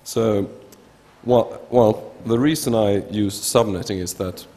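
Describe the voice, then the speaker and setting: A man in his thirties from Sweden, with a Swedish accent, giving an informal technical conference talk in a halting way, often restarting words. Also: fairly low pitch, mellow. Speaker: researcher presenting at a conference. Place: large indoor conference hall, at a lectern. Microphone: gooseneck lectern microphone. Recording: reverberant lecture hall.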